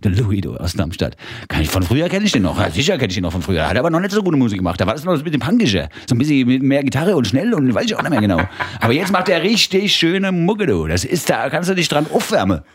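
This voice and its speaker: A man's voice: mid-range voice, very animated, husky, dry recording. A man co-hosting a radio podcast, joking around in a broad Hessian dialect. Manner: joking, drawn-out dialect talk. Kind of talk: casual banter, puts on Hessian dialect.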